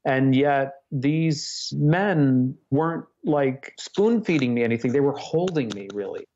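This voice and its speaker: A man in a podcast interview speaks candidly about his own past, earnest and often pausing to find the right words. His voice sits in a middle register. The recording is dull and lo-fi, with the top end rolled off. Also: mid-range voice, lively intonation, a little mellow, slight background noise. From Hong Kong. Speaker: podcast guest, psychotherapist and Buddhist teacher. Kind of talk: reflective personal storytelling.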